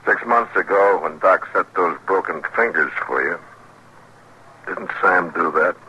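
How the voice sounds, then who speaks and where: Very deep-voiced frontier lawman. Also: unhurried, sharp tone, breathy edge, lo-fi sound, heavily acted. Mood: stern. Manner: firm, laconic. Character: stern, steady, protective frontier lawman. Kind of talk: old-time radio western acting. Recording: faintly noisy old radio recording.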